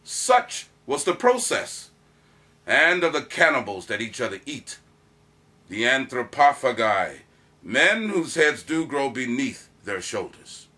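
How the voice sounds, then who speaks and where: A man's voice: medium pitch, very animated, takes it slowly, pushed and bright, heavily acted. A man performing a proud soldier who recounts his courtship in a declamatory, theatrical Shakespearean monologue. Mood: proud, grand. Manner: declamatory, dramatic emphasis.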